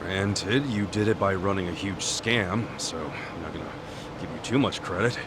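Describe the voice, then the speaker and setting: A man's voice: deep voice, moderate pace, close to an everyday voice. An actor playing a hot-blooded, earnest man in a video game, speaking bluntly and passionately in slangy English over background noise. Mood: indignant, rallying. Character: hot-blooded earnest man rallying reluctant underworld leaders.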